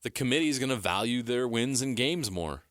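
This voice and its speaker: Male sports co-host, bright forceful voice, medium pitch, blunt opinions, dry studio sound. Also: expressive, a little fast, no echo. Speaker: sports radio show co-host. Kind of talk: casual sports debate.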